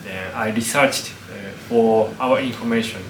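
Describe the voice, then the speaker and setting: A man hosting an interview in English, speaking haltingly with many pauses and "uh"s. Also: fairly low pitch. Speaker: host. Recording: echoey room, distant mic, background noise.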